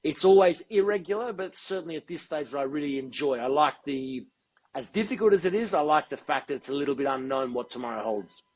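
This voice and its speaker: A man being interviewed as a guest, speaking in a measured, articulate and deliberate way. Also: a little high, lively intonation, rough recording. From Australia. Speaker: guest, football TV presenter. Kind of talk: podcast interview.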